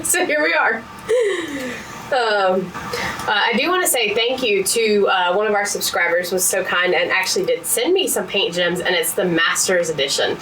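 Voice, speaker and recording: A woman co-hosting a casual hobby chat, low-key and matter-of-fact with dry understatement. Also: moderate pace, pushed and bright, recorded from a distance, a little hiss or hum, rough recording. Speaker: co-host of a diamond painting channel.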